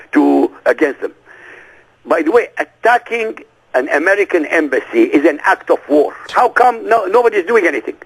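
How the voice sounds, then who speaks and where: An insistent man who speaks Arabic as his first language sits in as an interview guest, pressing his points with one rhetorical question after another. His voice sits high and swings widely, rough with gravel and a husky edge. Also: low-quality recording. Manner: forceful, emphatic, fires rhetorical questions. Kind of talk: radio interview.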